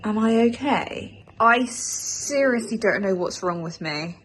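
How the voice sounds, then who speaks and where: A woman's voice: a little high, lively intonation, slow pace. Young British woman, noisy vlog recording. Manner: chatty, self-deprecating, repeats phrases for emphasis. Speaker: vlogger. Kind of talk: casual vlog chat to camera.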